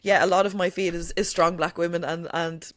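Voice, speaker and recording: Emphatic woman, low and dry. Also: flat delivery, a little fast, no room sound. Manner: emphatic, swears freely, fills with "like" and "you know"; says she has a strong voice. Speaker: podcast guest. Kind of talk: candid conversational chat.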